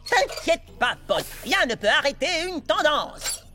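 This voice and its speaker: A woman voicing a cheerful, boastful young amoeba boy eager to be cool, in an animated cartoon. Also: sits high, a little slow. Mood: excited. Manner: boastful, excitable. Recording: music behind the voice.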